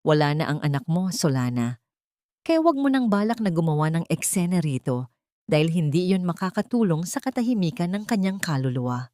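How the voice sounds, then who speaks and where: A woman's voice: on the low side, big pitch swings, a little fast, mellow, dry recording, studio quality. A woman narrator voicing a heartless older mother-in-law in a melodrama, matter-of-fact and stern. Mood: cold, dismissive. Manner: unhesitating, matter-of-fact, authoritative. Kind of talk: acted dialogue in melodrama narration.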